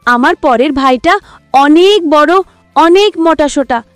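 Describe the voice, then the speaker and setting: A woman puts on a timid little billy goat from a kids' cartoon. The voice is very high and dry, politely begging and wheedling to be spared. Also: expressive, a little slow, dry recording, soft background music. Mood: pleading. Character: small, timid young male goat pleading for his life. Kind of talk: children's cartoon animal acting.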